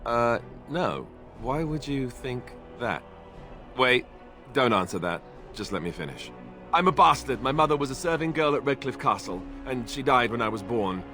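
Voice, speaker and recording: An actor playing an awkward, earnest young warrior in a fantasy video game, speaking in a hesitant, self-effacing, conversational way. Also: male voice, medium pitch, expressive, normal speed, bright, forceful tone, soft background music. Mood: nervous, awkward, then confessional. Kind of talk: video game cutscene acting.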